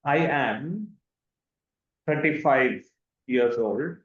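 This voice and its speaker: A man's voice: medium pitch, expressive, extremely slow. A man in his thirties from India, presenting a live online demonstration with enthusiasm and frequent repeated words over a video call. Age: 30s. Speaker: webinar presenter. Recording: echoey room, dull lo-fi sound.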